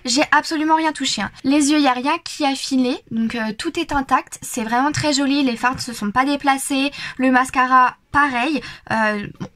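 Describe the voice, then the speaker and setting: High-voiced chatty young woman vlogger. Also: a bit bright. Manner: chatty, frequent hesitations and repeated words. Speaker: beauty vlogger. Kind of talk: casual makeup review vlog.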